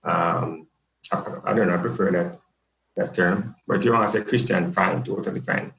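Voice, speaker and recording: Deep gravelly Jamaican man, joking. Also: fairly even intonation, normal speed, a bit bright, roomy sound, poor audio. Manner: joking, laid-back, many fillers. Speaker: podcast guest. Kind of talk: casual interview chat.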